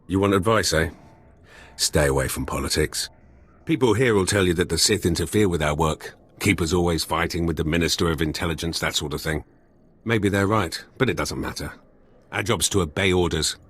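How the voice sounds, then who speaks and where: A gruff middle-aged spy fixer with a very deep, rough husky voice. He talks in blunt, terse sentences, a hard-liner in a video game. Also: brisk, fairly bright tone. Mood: gruff, stern. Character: gruff hard-line veteran male spy turned fixer.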